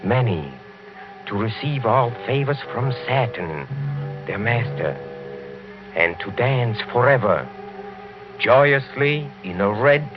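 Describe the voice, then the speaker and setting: An actor playing a proud, menacing old aristocratic count in a radio horror drama, speaking gravely, deliberately and formally. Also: male voice, mid-range voice, lively intonation. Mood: grave, ominous. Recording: muffled old radio broadcast, loud music.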